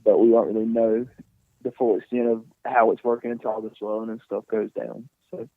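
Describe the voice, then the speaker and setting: A man in his twenties, a singer being interviewed, talking casually and softly with many fillers and restarts. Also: on the low side, level tone, a little fast, no echo. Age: 20s. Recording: tinny phone-like sound, dry.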